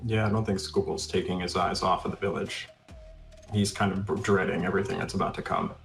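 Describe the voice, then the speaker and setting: A man's voice: deep voice, steady tone, a little fast, vocal fry, fairly bright tone, faint music behind. A man playing a tabletop game, casually describing what his character does.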